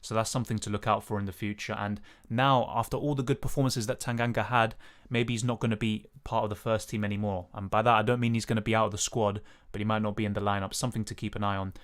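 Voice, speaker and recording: Raspy, lowish young man. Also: flat delivery, fast talker. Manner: casual, hedging, many fillers. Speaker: fantasy football streamer. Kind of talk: live stream Q&A, reading chat.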